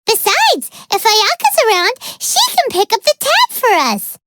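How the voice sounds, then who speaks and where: Tiny childlike fairy sidekick, extremely high voice, lively swoops, naive, exclamatory, video game dialogue. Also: female voice, measured pace, no echo, studio quality, heavily acted. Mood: cheerful, scheming. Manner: speaks of self in third person, exclamatory, whiny. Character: tiny excitable childlike fairy companion, greedy, naive and dramatic.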